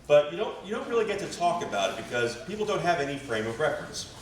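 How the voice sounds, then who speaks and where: A man's voice: fairly high pitch, very animated, fast. An American man performing stand-up comedy at an open mic, with a hesitant, rambling delivery full of trailing asides. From West Virginia family, United States. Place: small live comedy venue. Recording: echoey room, distant mic, faint noise.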